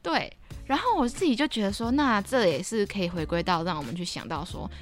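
A woman's voice: mid-range voice, lively intonation, brisk, soft background music. A young woman with a Taiwanese Mandarin accent chatting casually as a podcast co-host. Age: young adult. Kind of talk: casual chat.

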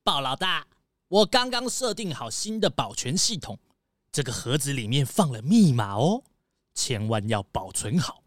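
A man's voice: mid-range voice, sing-song, normal speed, close to an everyday voice. A man playing an earnest, eager master locksmith in a children's audio drama.

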